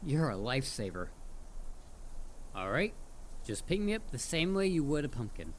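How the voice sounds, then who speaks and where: A man's voice: mid-range voice, big pitch swings, moderate pace, a little hiss or hum, natural voice. A man voicing a chatty, mischievous talking head of a dullahan in a casual, rambling audio roleplay spoken straight to the listener. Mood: cajoling, easygoing. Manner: casual, rambling, hesitant with stammered repeats. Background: ambient forest sound effects.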